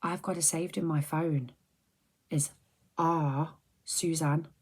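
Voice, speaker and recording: A middle-aged woman, chatting softly and rambling on a close mic for an ASMR video, often slipping into whispers. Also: low-pitched, steady tone, slow, husky. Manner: soft-spoken, drifting into whispers, chatty and rambling. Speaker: ASMR creator. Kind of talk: soft-spoken ASMR chat.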